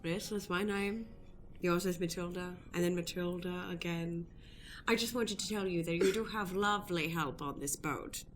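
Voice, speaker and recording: A woman playing an awkward, pompous gentleman suitor in an improvised radio play. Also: lowish voice, faint music behind, natural voice. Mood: awkwardly admiring. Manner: pompous, hesitant, deliberate. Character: Darwin Doobie, awkward pompous male suitor on a date.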